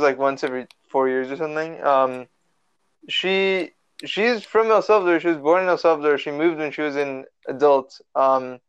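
A man's voice: on the high side, smooth. Young man rambling on a lo-fi call. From Los Angeles area. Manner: relaxed, rambling with many fillers and restarts. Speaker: podcast guest. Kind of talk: casual chat. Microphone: remote call. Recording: lo-fi online call audio.

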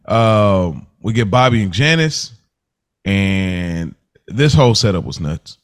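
A man's voice: on the low side, big pitch swings, slow pace. Laid-back man recapping a show. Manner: rambling, loose, chuckles often. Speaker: podcast co-host. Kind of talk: casual recap chat.

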